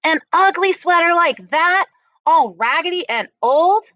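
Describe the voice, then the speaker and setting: A woman reading a first-person story aloud expressively, with dramatic, deliberate phrasing. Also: very high voice, animated, fairly bright tone, dry recording, dull audio. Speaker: read-aloud narrator.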